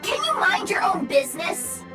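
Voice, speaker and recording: A woman's voice: very high-pitched, sing-song, normal speed. A woman voicing a defensive, haughty little robot girl in a video game, snappy and indignant. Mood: menacing. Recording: loud music behind, distant sound. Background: loud game music.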